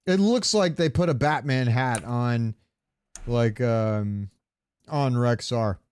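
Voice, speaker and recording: A man's voice: mid-range voice, slow pace, a little mellow, clean tone. A man co-hosting a casual gaming podcast, talking in a rambling way with meandering asides. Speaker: podcast co-host.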